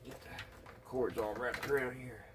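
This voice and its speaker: A man with a slightly husky voice, talking casually and folksily through hands-on repair work, thinking aloud without hurry. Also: mid-range voice, steady tone, very noisy. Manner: folksy, unhurried, thinking aloud while working. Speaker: hobbyist radio restorer and video host. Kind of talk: casual hands-on workbench narration.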